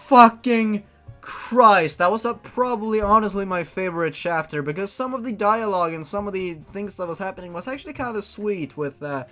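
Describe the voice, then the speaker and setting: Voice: mid-range voice, bright, forceful tone. A host giving casual comedic reaction commentary on amateur fiction, melodramatic and exasperated, swearing freely. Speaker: host of a fan fiction reading series.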